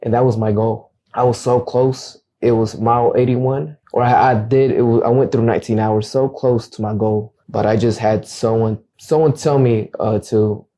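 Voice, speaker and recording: A man's voice: mid-range voice, fairly even intonation, moderate pace, few pauses, warm tone. A young man whose first language is Acateco, speaking earnestly as a guest realtor in a casual interview and often repeating words as he starts a thought. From United States.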